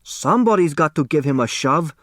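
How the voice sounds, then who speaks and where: A male narrator plays a gruff restaurant owner in an audiobook, his voice pitched well up as he scolds. He is harried and impatient, barking out complaints in a dry, close recording. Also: animated, a little fast, fairly bright tone, no echo. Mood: irritated, scolding.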